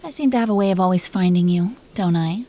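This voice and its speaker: A woman's voice: lowish voice, fast, mellow, natural voice. A woman playing a possessive, teasing dragon queen in an intimate roleplay audio, commanding yet doting toward her husband. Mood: teasing, affectionate. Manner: playful, commanding, mock-sweet.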